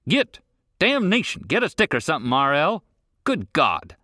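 A man's voice: a little high, big pitch swings, moderate pace, sharp tone, dry recording, clean studio sound, voice pushed up, full character voice. A man voicing a blunt, exasperated older male rancher, drawling. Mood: shouting. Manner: gruff drawling, raised voice.

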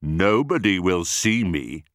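A man's voice: low voice, very animated, takes it slowly, fairly bright tone, no room sound, studio quality, heavily acted. A man voicing an enormous, greedy, boastful crocodile who savours his words in a storybook reading. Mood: sly. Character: enormous greedy boastful male crocodile, sly child-eater.

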